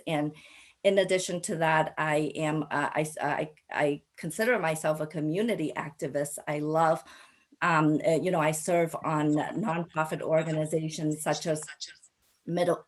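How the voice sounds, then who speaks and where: Low-pitched, halting woman from Cambodia. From Cambodia, raised in a Thai refugee camp and the US. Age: 40s. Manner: halting, frequent hesitations and repeated phrases. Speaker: panelist, local elected official. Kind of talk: personal story on a panel.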